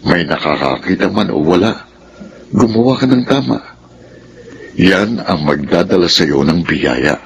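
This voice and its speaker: An actor playing a caring middle-aged father in a Filipino radio drama, speaking in a measured, earnest way. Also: low voice, expressive, full character voice. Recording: clearly noisy radio drama track.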